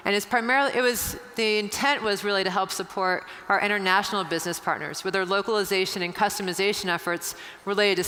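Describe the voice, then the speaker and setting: Conference presenter, polished corporate talk, bright crisp voice, long echo in a big hall. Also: medium pitch. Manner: polished, corporate, introduces the next speaker.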